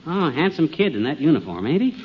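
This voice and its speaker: An actor playing a boastful, excitable small-town husband in 1950s radio comedy, with folksy, ungrammatical talk. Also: male voice, a little high, fast, background noise. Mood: excited. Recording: dull old radio recording, studio audience.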